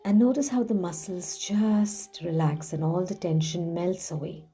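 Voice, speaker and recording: Woman, guided visualization host, very mellow and dark tone, unhurried delivery, hushed and soothing. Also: on the low side, steady tone. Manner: soothing, hushed, gently drawn-out phrasing. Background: background music.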